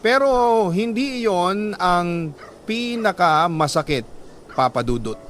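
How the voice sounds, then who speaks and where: A man reading a scripted first-person letter as a dramatic story, in a solemn, measured, emotive way. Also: high voice, fairly bright tone, a little hiss or hum.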